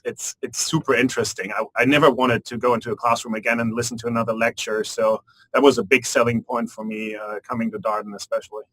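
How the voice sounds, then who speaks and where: A man on a student panel giving an animated, opinionated answer with frequent restarts and repeated words. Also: medium pitch, no room sound, low-quality recording.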